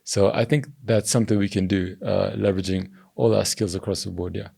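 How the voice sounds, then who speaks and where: A young man from Zambia, a governance strategist giving measured interview answers that he often hedges with "I think.". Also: low voice, steady tone, vocal fry, mellow, breathy edge. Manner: measured, hedging with "I think" and "you know". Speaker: podcast guest, governance strategist.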